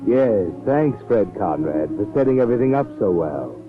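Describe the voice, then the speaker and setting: An ominous, knowing narrator tells a 1940s radio mystery. His low voice is deliberate and sardonic, on a tinny old recording with music behind it. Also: big pitch swings. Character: sardonic, ominous male narrator addressing the schemer.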